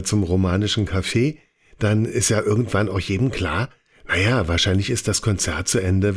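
A man, a singer-songwriter, answering interview questions in a modest, reflective storytelling manner. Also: lowish voice.

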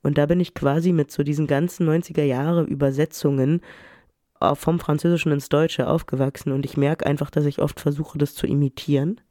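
Male interviewee, casual and mellow, slightly high voice, dry sound over music. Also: no room sound. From Germany. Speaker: interviewee, writer.

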